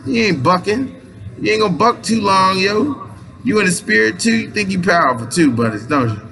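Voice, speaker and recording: A man's voice: high-pitched, lively intonation, moderate pace, bright, forceful tone, soft background music, low-quality recording. A man in his late thirties giving a rambling, casual spiritual pep talk in loose slang, restarting his sentences often. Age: 30s. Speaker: aspiring minister and motivational speaker.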